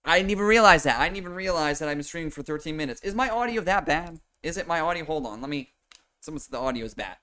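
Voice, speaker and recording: Nasal, bright-voiced young man streaming football talk. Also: fairly high pitch, lively intonation, fast. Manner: rambling and profane, with many fillers and restarts. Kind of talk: casual livestream sports commentary. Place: home room with a fan. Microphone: desk microphone with noise suppression.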